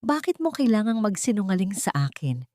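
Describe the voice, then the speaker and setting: A woman voicing a bitter husband who speaks low, with a cutting, accusing edge, in a dramatic story. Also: big pitch swings, fairly quick, darkish tone, dry recording, studio quality. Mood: cold accusing.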